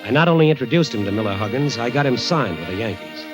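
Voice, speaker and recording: A folksy sports reporter, played by an actor tells the story in an old radio play with an easy, mid-pitched voice. Music plays clearly behind him. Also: male voice, animated, fast, lightly acted. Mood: storytelling. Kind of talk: 1940s radio acting.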